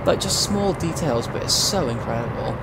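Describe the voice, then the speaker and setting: A man's voice: medium pitch, expressive, normal speed. A young man from South Wales with a Welsh accent, chatting and telling rambling stories while livestreaming a truck game. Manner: rambling, chatty storytelling with many asides. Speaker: gaming streamer. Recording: loud game noise behind. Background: truck game engine and road sounds.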